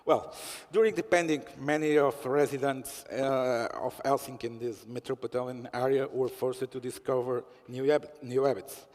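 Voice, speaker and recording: A man's voice: medium pitch, steady tone, moderate pace, hardly any pauses, darkish tone. Hesitant male moderator in a hall. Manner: hesitant, non-native phrasing. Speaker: panel moderator. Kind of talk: moderating a panel. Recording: long hall reverb.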